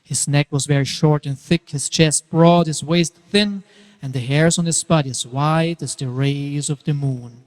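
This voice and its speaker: A man narrates scripture as drama, with a slightly high voice and emphatic, theatrical phrasing that swells and drops for effect. His voice rings in a long hall reverb. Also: unhurried.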